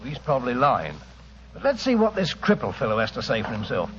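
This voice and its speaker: An actor playing a brisk, sceptical police sergeant questioning people in an old-time radio drama. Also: male voice, mid-range voice, wildly swooping pitch. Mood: suspicious, brisk. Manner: blunt, interrogating. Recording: muffled, hissy old radio recording.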